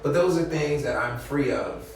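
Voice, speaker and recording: A gravelly-voiced American man talks casually as an interview guest in a highly reverberant room full of chatter. He is reflective and wordy, often checking in with his listener. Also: moderate pace. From Louisiana, United States. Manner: reflective, wordy, often checks with "you know what I'm saying". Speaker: interview guest, artist and videographer. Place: echoey indoor mixer event. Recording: roomy echo, distant mic, crowd chatter.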